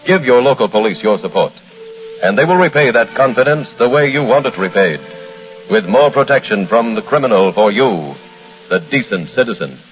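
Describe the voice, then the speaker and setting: A man's voice: medium pitch, expressive, normal speed, soft background music. An American man delivering formal, earnest 1940s radio narration in a grave manner. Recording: muffled old radio broadcast.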